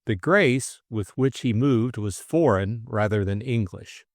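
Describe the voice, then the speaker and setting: A man narrates a classic thriller audiobook in a scripted, dramatic storytelling style. His voice sits at an average male pitch, and the recording is close and dry. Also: unhurried, no room sound.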